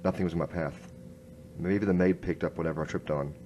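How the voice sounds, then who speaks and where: A man playing a grim, hard-boiled noir inspector, narrating his case in clipped, deadpan radio-drama style. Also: deep voice, fairly quick, a little hiss or hum, close to an everyday voice, low-quality recording. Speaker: narrator and lead actor.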